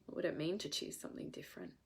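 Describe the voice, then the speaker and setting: A woman in her thirties with a congested head cold, speaking earnestly and emphatically to her viewers as a coach in a live stream, sometimes swearing for emphasis. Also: very fast. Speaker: women's coach.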